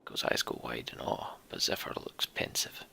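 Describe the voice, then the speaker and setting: Male game-playthrough host; dramatic text reading and casual asides, very bright forceful tone, slightly whispery. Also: normal speed. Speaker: let's play host.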